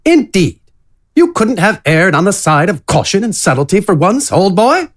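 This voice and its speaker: A man voicing a pompous, wordy gentleman adventurer with florid, grandiose delivery, in a fantasy audio drama. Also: high-pitched, big pitch swings, moderate pace, pitched above the performer's own voice. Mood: grand scolding.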